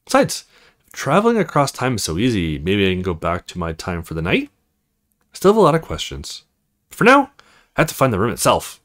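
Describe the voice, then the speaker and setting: A man's voice: on the low side, huge pitch swings, brisk, fairly bright tone. A man voicing an awkward, earnest young male recruit in a visual novel, hesitant and self-conscious. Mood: pensive.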